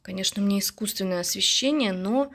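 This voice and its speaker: Casually chatty woman, medium pitch. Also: fast talker, creaky. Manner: chatty, nostalgic, self-correcting. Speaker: beauty vlogger. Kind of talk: casual rambling chat. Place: indoors at home, at a dressing table.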